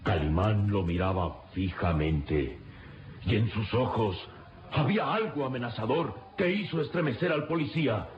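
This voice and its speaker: A man's voice: lowish voice, lively intonation, normal speed, slight background noise. A man narrating a radio serial in a dramatic, suspenseful, declamatory style. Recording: dull echoey lo-fi, loud music behind.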